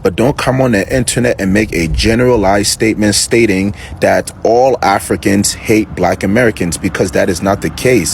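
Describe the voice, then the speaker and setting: A man's voice: lowish voice, normal speed, gravelly, hardly any pauses, slight background noise. A man born in Liberia and raised in New York City, giving earnest commentary to camera, repeating himself and pleading. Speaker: reaction video host.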